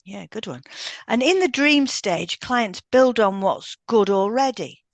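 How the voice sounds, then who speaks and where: A woman's voice: fairly high pitch, lively intonation, measured pace, vocal fry, dry recording, studio quality. A woman reading a semi-scripted explanatory podcast as co-host.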